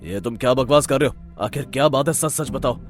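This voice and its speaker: A man's voice: mid-range voice, brisk, soft background music, lightly acted. A man voicing a stern, suspicious village headman in a cartoon story, demanding and authoritative. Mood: threatening.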